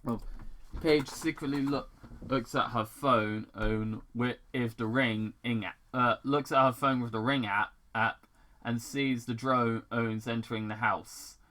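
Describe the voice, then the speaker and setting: Slow, halting man with a sharp voice. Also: medium pitch, fairly even intonation. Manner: halting, stumbling over words. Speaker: reader of fan fiction. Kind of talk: amateur story read-aloud with asides.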